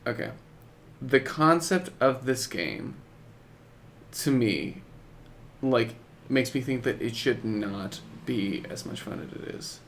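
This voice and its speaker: A young man with a medium-pitched voice speaks slowly as a podcast co-host. His casual talk comes in hesitant, halting pieces with a skeptical edge. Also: lively intonation, gravelly, slight background noise. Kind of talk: casual chat about video games.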